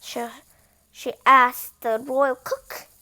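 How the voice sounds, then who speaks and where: A woman reading a picture book aloud, slightly halting, with casual, chatty asides. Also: high voice, steady tone, pushed and bright. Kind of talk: animated storybook read-aloud with casual asides.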